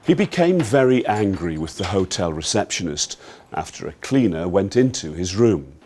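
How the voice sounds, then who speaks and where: A man's voice: deep voice, very animated, normal speed, darkish tone, slight background noise. A man narrating a dramatised film from a script, in the style of a news report.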